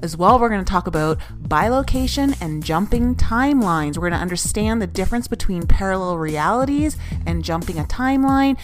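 A woman co-hosting a podcast, introducing the episode and her guest in a bubbly, upbeat way and laughing easily. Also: on the high side. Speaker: podcast co-host.

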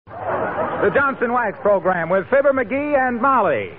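A man's voice: very high-pitched, animated, a little slow, over a noisy crowd. A man with an American accent, a polished, salesmanlike announcer reading scripted copy in 1940s radio style. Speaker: radio announcer and sponsor pitchman. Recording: tinny, hissy old radio recording.

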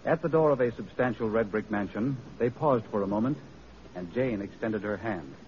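A man's voice: lowish voice, normal speed, highs cut off, slight background noise. A man narrating a scripted radio drama in an old-time storytelling style on a vintage recording. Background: music.

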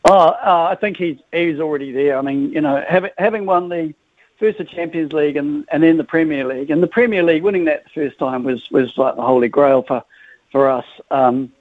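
A man's voice: fairly high pitch, no echo. New Zealand man on a tinny phone line. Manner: enthusiastic, halting with many restarts. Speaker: football supporters club guest. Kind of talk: radio phone interview.

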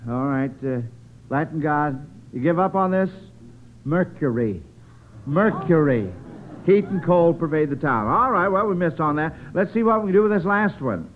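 A man's voice: on the high side, lively intonation, normal speed, slight background noise. An American man hosting an old-time radio quiz show. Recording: muffled, band-limited vintage radio broadcast.

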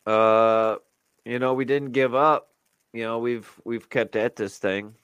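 A man's voice: medium pitch, fairly even intonation, takes it slowly, a bit bright, smooth, no room sound, highs cut off. A man co-hosting a podcast, chatting casually and rambling with lots of fillers and restarts. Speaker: podcast co-host. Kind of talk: casual chat.

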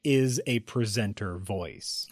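Brief: male streamer, animated chatty co-host, dry close recording. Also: fairly low pitch, slow pace, husky, dry recording. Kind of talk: casual chat.

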